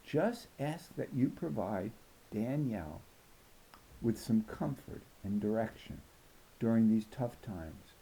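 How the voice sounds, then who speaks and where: A man's voice: lowish voice, lively intonation, slow, creaky, dark tone, slight background noise, exaggerated voice, poor audio. A man voicing a tough, protective farm grandmother in a storybook, blunt and emphatic. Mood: praying firmly. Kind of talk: storybook character reading.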